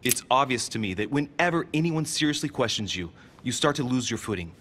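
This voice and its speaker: An actor playing a persistent, earnest young investigator from a secret society in a video game, coaxing and insistent. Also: male voice, mid-range voice, lively intonation, fairly quick, fairly bright tone, a little hiss or hum, natural voice. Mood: persuasive. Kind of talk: dramatic video game dialogue. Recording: music under the voice.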